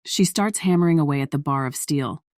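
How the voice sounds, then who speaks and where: A woman's voice: deep voice, fairly quick, darkish tone, no room sound, studio quality. A woman reading scripted story narration as the narrator of a piece of fiction.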